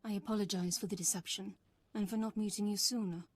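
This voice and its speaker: A husky, breathy female Sith master, voiced by a woman, grave and secretive. She speaks in measured, formal phrases with game-drama solemnity, in a very dry, close sound. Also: mid-range voice, steady tone, no echo, natural voice. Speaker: game character, secret cult leader. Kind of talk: dramatic video game voice acting.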